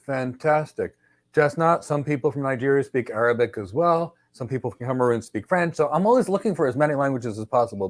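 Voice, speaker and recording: एक उत्साहित पुरुष इंटरव्यूअर, सूखी रिकॉर्डिंग. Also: male voice, medium pitch, no echo, studio quality. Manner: upbeat, encouraging. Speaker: interviewer. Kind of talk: mock job interview.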